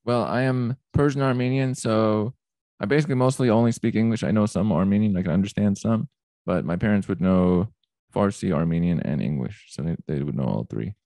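A man in his thirties hosts a casual interview, his voice very low, dark and gravelly. He speaks close to a stand mic in a small plain room, and the sound is dry. His talk is relaxed and halting, full of fillers. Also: no echo. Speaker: podcast host. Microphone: studio mic on a stand in front of him.

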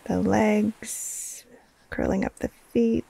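Female yoga nidra guide: hushed, mellow and unhurried, breathy near-whisper with heavy vocal fry, soothing short phrases. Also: medium pitch, steady tone, poor audio. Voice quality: breathy, soft, often trailing into near-whisper. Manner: slow, soothing, hushed, with long pauses between short phrases. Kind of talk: guided relaxation meditation.

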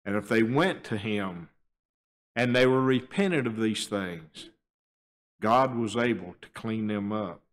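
An older man preaching a sermon at a church pulpit, moving between quiet, low stretches and raised, emphatic appeals. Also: unhurried. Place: church sanctuary, standing at a wooden pulpit. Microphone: pulpit microphone, filmed by a fixed camera.

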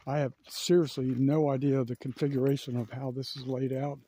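A man's voice: medium pitch, flat delivery, brisk, mellow, a little hiss or hum. A man narrating a casual walking tour of a campground, thinking aloud with lots of fillers, recorded outdoors on a handheld camera. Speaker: vlogger giving a walking tour. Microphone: handheld camera while walking.

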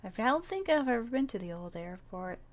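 A woman's voice: on the high side, big pitch swings, a little fast. A woman playing a naive, curious survivor in a zombie game roleplay, with a playful, questioning manner. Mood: casual, unsure. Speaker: roleplay player.

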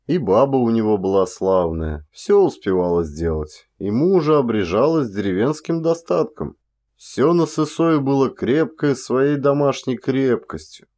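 A man reading an audiobook as an actor, telling a literary story in a measured, expressive way. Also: mid-range voice.

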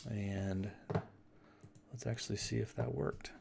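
A man giving an informal screen tutorial, thinking aloud as he explains each step in a casual, halting way. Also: fairly low pitch, fairly even intonation, gravelly. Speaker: software tutorial instructor. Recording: noisy room with keyboard clicks. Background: typing and mouse clicks.

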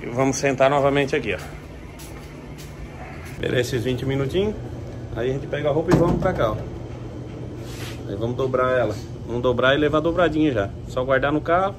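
A man's voice: medium pitch, moderate pace, pauses often. A man with a Brazilian Portuguese accent chats informally to his viewers, narrating everyday chores as he goes with lots of colloquial diminutives. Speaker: vlogger. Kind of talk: casual vlog chat, narrating chores to viewers. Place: inside a self-service laundromat. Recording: noisy laundromat ambience.

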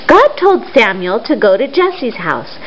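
A middle-aged woman doing storytime for young children, speaking simply with questions and pauses, recorded in a living room. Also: on the high side, big pitch swings. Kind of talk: storytime talk and read-aloud for young children. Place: living room at home, indoors. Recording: roomy, dull sound with faint noise.

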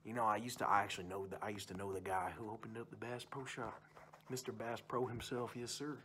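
A man's voice: lowish voice, steady tone, fast, a bit bright, slight background noise, close to an everyday voice. A young man playing a boastful, folksy uncle who rambles about hunting and fishing in an ASMR roleplay. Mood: chummy, easygoing. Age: young adult. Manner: folksy, rambling, repeats stock phrases. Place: small room indoors.

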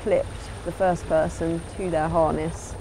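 A woman's voice: lowish voice, little pitch movement, a little slow, noisy background. A woman giving an instructional voice-over as a sailing instructor, in a plain, explanatory way.

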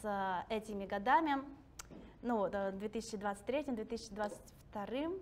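A woman in her thirties from Russia, talking casually and self-ironically to an audience in a library hall, laughing often. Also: a little high. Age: 30s. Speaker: guest speaker.

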